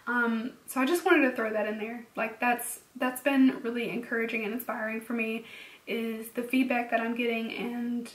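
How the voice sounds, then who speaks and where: A woman's voice: on the high side, steady tone, normal speed, echoey room, distant mic, low-quality recording. Young woman vlogger with a cracking, tired voice. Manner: soft, earnest and reassuring, with frequent ums and small laughs. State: losing her voice, slightly cracking. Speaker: beauty vlogger. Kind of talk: personal vlog talking to camera.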